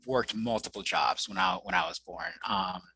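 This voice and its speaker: Guy whose first language is mandarin, low bright voice, measured and thoughtful, lots of little pauses. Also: breathy edge. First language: Mandarin Chinese. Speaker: guest, audiologist and researcher. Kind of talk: conversational podcast interview.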